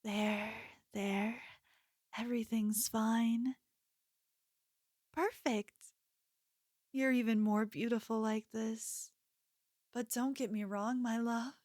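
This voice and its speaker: A woman's voice: fairly high pitch, steady tone, slow, long pauses, darkish tone, dry recording, studio quality. A woman playing an obsessive, possessive young yandere in an ASMR roleplay, speaking intimately and coaxingly, like a lover with menace underneath. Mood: soothing, possessive.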